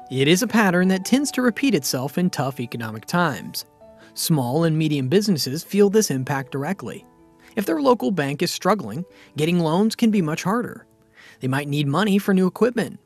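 Guy narrating a money explainer video, kinda high voice, lots of rise and fall, soft music behind. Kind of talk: scripted finance explainer narration.

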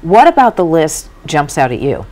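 A woman's voice: low voice, very animated, moderate pace, a little mellow. A woman hosting a live TV news show, interviewing guests in a studio. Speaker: TV news host.

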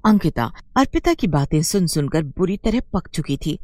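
A woman reading scripted narration for an animated moral story. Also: on the low side, moderate pace, no room sound, studio quality.

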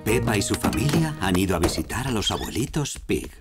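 A man's voice: lowish voice, very animated, a little fast. A man as the friendly storybook narrator of a preschool cartoon, reading scripted lines. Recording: loud cartoon music behind.

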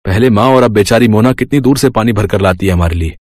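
Man playing a low, soft-spoken young farmer son. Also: quick pace, no echo, clean studio sound, lightly acted. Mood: complaining. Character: dutiful young farmer son, weary and protesting. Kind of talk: cartoon moral story dialogue.

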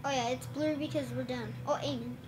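A woman's voice: high voice, fairly even intonation, quick pace, over music. Casually swearing woman chatting and joking while playing a shooter split-screen on a TV, filmed by phone. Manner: swears, jokes and laughs a lot. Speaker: gamer filming split-screen play. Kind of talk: casual gaming chat. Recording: noisy room recording.

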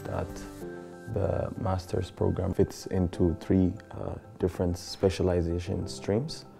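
A young man from Ethiopia, a master's student, speaking haltingly with many pauses in an interview. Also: low voice, level tone, vocal fry, warm tone. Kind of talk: interview testimonial. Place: indoor room by a window. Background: background music.

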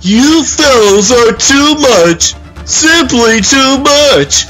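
A man's voice: extremely high pitch, animated, extremely slow, a bit bright, soft background music, full character voice, poor audio. A man voicing a gleefully zany clownish super-villain in a comic dub, theatrical, exclamatory and sing-song. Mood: taunting, playful.

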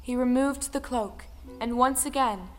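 A woman narrating a scripted folktale in a measured, dramatic style. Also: a little high. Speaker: storyteller. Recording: music under the voice. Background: music.

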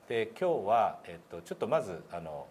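A man's voice: lowish voice, big pitch swings, brisk, a little mellow. A middle-aged man giving casual, polite pronunciation instruction in a meeting room, speaking hesitantly and demonstrating sounds. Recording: clear background room noise.

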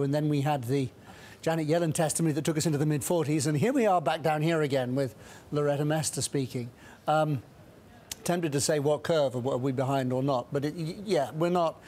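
Middle-aged man, fairly high, brisk studio talk. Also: a little mellow, a little hiss or hum. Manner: brisk, many restarts and hedging fillers. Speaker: guest strategist. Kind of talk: studio interview. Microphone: lapel.

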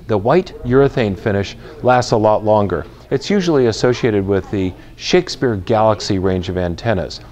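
A man in his fifties with a fairly low voice presents an instructional buyer's guide. He explains things clearly and methodically, speaking into a lapel mic. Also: expressive, normal speed, few pauses, darkish tone. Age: 50s. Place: large retail store, indoors.

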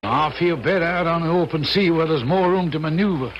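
An actor playing a dutiful, alert naval lieutenant, giving brisk formal reports in a radio drama. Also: male voice, on the high side, lightly acted. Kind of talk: 1970s radio drama acting. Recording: dull, noisy vintage radio broadcast.

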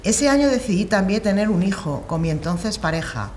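A middle-aged woman reading an essay aloud in a steady, plain manner in a large hall. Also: mid-range voice, moderate pace, slight background noise. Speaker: reader in a shared reading group. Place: large hall, seated on the floor.

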